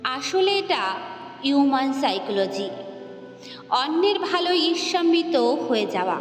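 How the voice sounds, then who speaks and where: A woman storyteller recites in a high, expressive voice. She takes her time over each phrase. Her voice rings with heavy reverb over music. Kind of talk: expressive Bengali short story narration. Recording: roomy long reverb, music behind.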